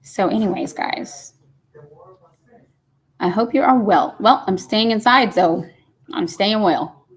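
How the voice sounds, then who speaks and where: A woman's voice: mid-range voice, lively intonation, slow, long pauses, slightly rough, poor audio. A woman, hosting a casual live stream and chatting folksily as she reads comments aloud. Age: 50s. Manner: chatty and folksy, says y'all.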